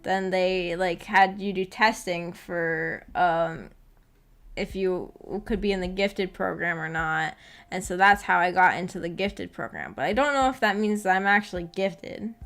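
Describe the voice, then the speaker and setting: A woman's voice: fairly low pitch, a little slow, a bit bright. A woman chatting casually as a livestream host, rambling in a giggly, self-deprecating way. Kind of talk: casual chat. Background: music.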